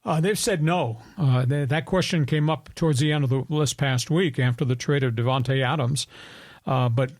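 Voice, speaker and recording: Brief: gravelly older man in his seventies, sports radio host, rambling, opinionated, slightly higher pitch, dry close sound. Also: normal speed, dry recording. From New York. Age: 70s. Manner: storytelling, opinionated, rambling with restarts. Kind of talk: sports talk radio chat.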